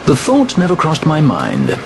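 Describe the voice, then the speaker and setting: A man's voice: fairly high pitch, wildly swooping pitch, measured pace, full character voice. An actor playing a witty, refined male sky pirate who speaks in a dry, sardonic way, with game music behind him. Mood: wry. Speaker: game character. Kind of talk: cutscene voice acting. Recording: game cutscene with clear score behind.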